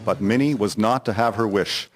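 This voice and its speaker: A man giving a solemn, measured scripted narration of a historical drama. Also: on the low side, low-quality recording.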